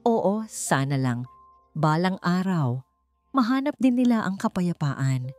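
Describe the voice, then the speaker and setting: A woman's voice: lowish voice, big pitch swings, a little slow, darkish tone. Loving young heroine and mother read by a female narrator, tender, affectionate, romance storytelling, faint music. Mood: gentle. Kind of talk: dramatic romance storytelling dialogue. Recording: music under the voice.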